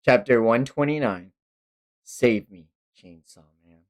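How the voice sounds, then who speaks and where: A man's voice: medium pitch, slow. A man streaming a casual manga read-along, narrating with amused, sweary commentary. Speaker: streamer reading manga aloud.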